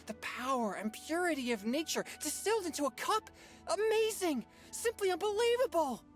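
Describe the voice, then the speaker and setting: A man's voice: very high voice, moderate pace, soft background music. A man playing an earnest, polite teenage boy exorcist in an anime-style game. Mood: giddy rapture. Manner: polite, expressive.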